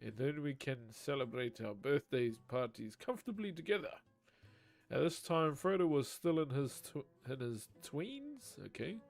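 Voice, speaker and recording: A man reading a novel aloud on a live stream, chatting casually with viewers between passages and swearing freely. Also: fairly high pitch. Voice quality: naturally loud voice. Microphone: desk microphone.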